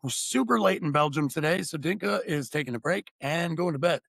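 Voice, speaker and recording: A man hosting a podcast with a raised pitch and a gravelly edge to his voice, introducing his guest in an upbeat, easygoing way. The sound is dry and close. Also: expressive, fairly quick, fairly bright tone, no echo. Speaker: podcast host.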